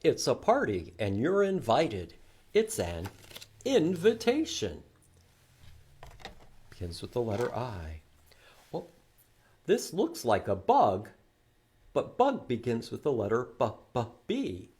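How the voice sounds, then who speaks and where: Animated, slow-paced man leading children's storytime. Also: mid-range voice, husky. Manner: animated, asks questions and repeats words for children. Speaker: children's library storytime presenter. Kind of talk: interactive read-aloud for young children.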